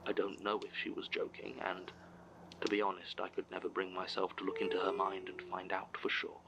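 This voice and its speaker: Smug creepy horror podcast villain guy, low gravelly half-whisper, slow theatrical formal gloating. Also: monotone, heavy background noise. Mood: smug confession. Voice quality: breathy, creaky half-whisper. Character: gloating, scheming male villain serving an eldritch fear power. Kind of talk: audio horror drama monologue.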